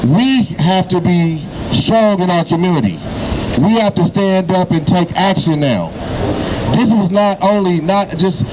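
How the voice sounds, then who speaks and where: A man giving an urgent rally speech outdoors, repeatedly calling people to stand up and act. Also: high-pitched. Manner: urgent, insistent, repeating calls to action. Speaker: rally speaker. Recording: outdoor rally with loud traffic.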